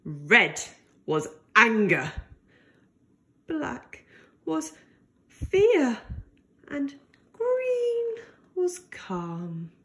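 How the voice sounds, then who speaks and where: A woman reads a picture book aloud for small children, with a high voice that rises and falls in lively swoops. She takes her time, very slowly and deliberately, keeping the delivery gentle and expressive. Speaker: storyteller for young children.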